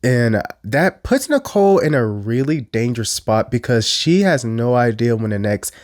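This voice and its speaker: A man's voice: medium pitch, sing-song, normal speed, a little mellow, no room sound, studio quality. Congested man in casual commentary. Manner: chatty, blunt, a little crude. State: congested from a cold. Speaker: YouTube commentator.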